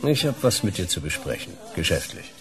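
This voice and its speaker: A man's voice: sits low, big pitch swings, fairly quick, fairly bright tone. A man playing a terse, world-weary private detective in a radio play, speaking in a clipped, dry, laconic way. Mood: dry, guarded. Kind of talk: hardboiled radio play narration and dialogue. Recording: music behind the voice.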